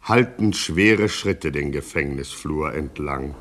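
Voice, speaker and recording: A man's voice: extremely low pitch, slow pace, noisy background. A man narrating a satirical radio crime comedy from a script.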